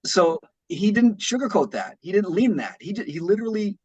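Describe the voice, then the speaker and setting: A man's voice: sits high, very animated, brisk, darkish tone, breathy edge, muffled recording. A man co-hosting a podcast, casually giving opinions on books in a conversational way, repeating phrases and checking in with his listener. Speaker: regular podcast co-host. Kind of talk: casual opinionated book discussion.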